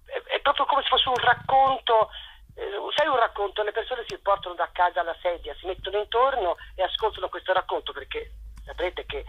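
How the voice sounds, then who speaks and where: A woman interviewed on radio as a theatre director, talking effusively in a halting, digressive storytelling way. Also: sits low, slightly rough. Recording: dull, noisy radio phone line.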